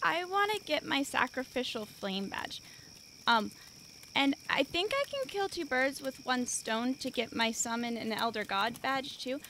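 A woman's voice: high voice, lively intonation, unhurried, bright, forceful tone, slight background noise, heavily acted. A woman playing a matter-of-fact, morbid, snippy young girl scout in an audio drama. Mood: keen.

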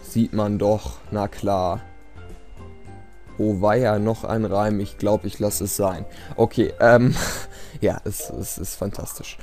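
A man's voice: fairly low pitch, steady tone, takes it slowly, a little mellow. A man giving casual, self-deprecating gameplay commentary in a resigned, rambling way. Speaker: let's play commentator. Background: game music.

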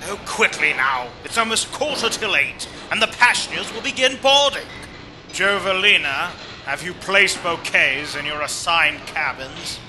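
A man's voice: high voice, animated, measured pace. A man playing a brusque, impatient, officious ship's steward in a radio play. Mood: hurried. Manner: officious, snapping. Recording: noisy ambience behind voice.

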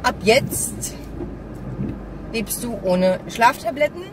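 Woman chatting while driving, distracted n grumbling, super loud car noise, mic kinda far. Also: on the high side, animated. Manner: distracted, grumbling asides, halting. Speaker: vlogger. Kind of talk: casual vlog chat while driving. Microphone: phone or camera mounted on dashboard. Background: car and traffic noise, navigation voice.

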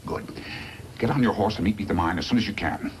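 An actor playing a scheming, sardonic villain boss in a 1950s radio western, insinuating and bossy. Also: male voice, on the low side, fast, close to an everyday voice. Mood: sly, calculating. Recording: noisy vintage 1950s radio recording.

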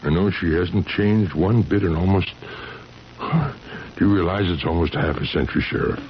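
An actor playing a weary, distressed seventy-year-old husband in a 1970s radio drama, halting and repeating himself with quiet insistence. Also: male voice, low-pitched, expressive. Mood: weary, resigned. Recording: dull, noisy vintage radio recording.

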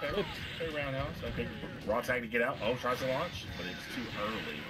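A man giving excited, casual play-by-play commentary on a fighting game match, often shouting. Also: medium pitch, fairly quick. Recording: game music loud behind. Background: loud video game music and sound effects.

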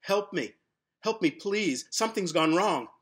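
A frantic little pencil in a storybook reading, voiced by a man, crying out in panic. The voice jumps up in pitch and gets much louder. It begs desperately for someone to hear it. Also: expressive, unhurried, breathy edge, exaggerated voice. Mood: panicked. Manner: panicked screaming pleas. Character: terrified living pencil crying for help.

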